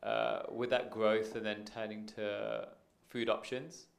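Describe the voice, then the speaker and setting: A man's voice: lowish voice, flat delivery, slow pace, vocal fry. A man in the audience asking a question, hesitant with "um" and "uh".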